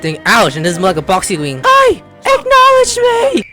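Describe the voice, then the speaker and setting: A woman's voice: on the high side, huge pitch swings, normal speed, music in the background. A woman giving casual gameplay commentary, thinking aloud in a playful, exclamatory way. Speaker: gaming video host.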